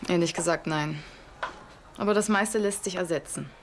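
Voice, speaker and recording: An actress playing a caring boarding school educator in a naturalistic TV drama. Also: female voice, on the low side, normal speed, a little hiss or hum, close to an everyday voice. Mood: soothing. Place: small furnished bedroom, indoors.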